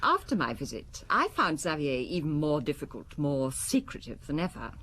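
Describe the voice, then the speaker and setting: A cold proud wife in a radio play, really low voice, very expressive pitch, haughty, articulate, a bit contemptuous. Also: female voice, moderate pace, full character voice. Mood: composed, uneasy. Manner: composed, haughty, articulate, defensive. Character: cool, proud, faintly contemptuous married businesswoman. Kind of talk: radio drama acting.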